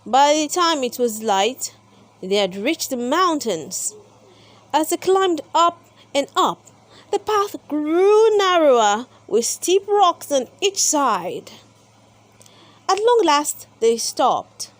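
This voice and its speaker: A woman with a high voice reads a children's storybook aloud. Her pitch moves up and down in a lively way, and she takes her time, placing deliberate, dramatic emphasis on the words. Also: dry recording. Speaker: storybook reader and channel host. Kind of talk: expressive children's read-aloud narration.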